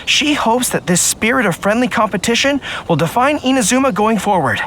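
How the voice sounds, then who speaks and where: A man's voice: extremely high pitch, animated, a little fast, fairly bright tone, slight background noise. A man playing a loyal, polite young general in a video game, speaking earnestly and formally.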